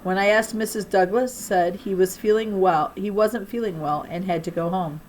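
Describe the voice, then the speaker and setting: A woman reading a children's novel aloud as an expressive narrator, with deliberate, emphatic phrasing. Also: medium pitch, slight background noise, low-quality recording. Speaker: audiobook-style reader of a children's novel.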